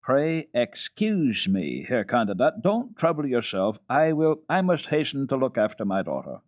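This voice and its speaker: A man playing a genial, wry gentleman father with a brisk, courteous manner in a children's storybook reading. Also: medium pitch, sing-song, dry recording, pitched above the performer's own voice. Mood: impatient.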